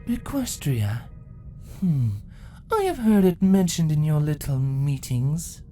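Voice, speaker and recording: A woman voicing an ancient changeling empress from a fantasy story, sadistic and mocking, speaking slowly and deliberately. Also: low-pitched, sing-song, dark tone, soft background music, exaggerated voice. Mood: amused disdain. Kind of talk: dramatic fan fiction voice acting.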